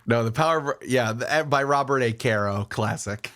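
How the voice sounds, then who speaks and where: A man's voice: medium pitch, moderate pace, fairly bright tone. A man playing a tabletop game, chatting casually and improvising what his character does.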